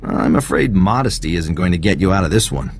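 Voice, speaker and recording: An actor playing a wry, easygoing intelligence agent in a video game, teasing and casual in manner. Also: male voice, low voice, expressive, a little fast, gravelly, a little hiss or hum. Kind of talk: video game character acting.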